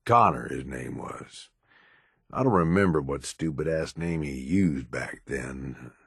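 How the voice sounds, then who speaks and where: A cynical American raider lieutenant from a video game, low-pitched and gruff. He talks streetwise and sardonic, with a profane, menacing edge. The recording is close and dry. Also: animated, slow, fairly bright tone, no echo, exaggerated voice. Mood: candid, dry. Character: hard-bitten, cynical male raider lieutenant, menacing schemer. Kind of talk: in-game character dialogue.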